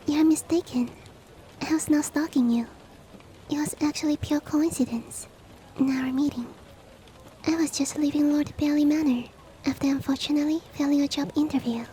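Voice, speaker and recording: A woman playing a polite, devoted shapeshifting monster-girl maid in an ASMR roleplay, speaking softly and often in a whisper, with formal, gently earnest courtesy. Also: high voice, close to an everyday voice, low-quality recording. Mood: calm, matter-of-fact. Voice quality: soft, breathy, often whispered. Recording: faint ambient rain noise behind. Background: soft rain ambience.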